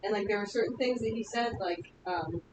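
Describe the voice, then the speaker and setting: A woman's voice: medium pitch, a little fast. A woman student casually telling an anecdote in class. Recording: echoey noisy room, far from mic.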